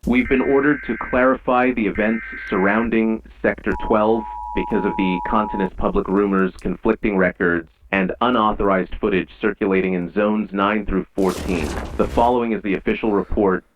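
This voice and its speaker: A man playing a grave, measured emergency announcer in an eerie analog horror broadcast, with dull, lo-fi old radio sound. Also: fairly low pitch, fairly even intonation, background noise, lightly acted. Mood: official, grave. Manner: measured, solemn, ominous.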